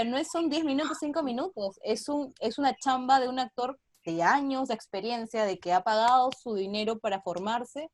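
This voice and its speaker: Higher-voiced Peruvian woman, earnest and explanatory. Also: a little mellow, dry recording. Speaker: theater artist, interview guest. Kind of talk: casual video-call interview.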